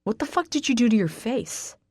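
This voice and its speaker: A woman reading an audiobook, voicing a hot-tempered young man who shouts and swears harshly. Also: a little high, sing-song, a little fast, slightly rough, no room sound, studio quality, pitched above the performer's own voice, exaggerated voice. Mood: scornful. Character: angry, volatile young man.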